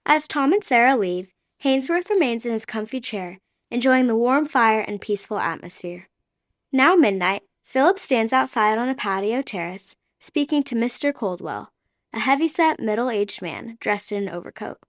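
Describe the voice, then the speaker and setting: A woman narrating the scene directions of a radio play in an even, descriptive way. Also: fairly high pitch, normal speed, dry recording, clean studio sound. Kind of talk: scripted narration of stage directions.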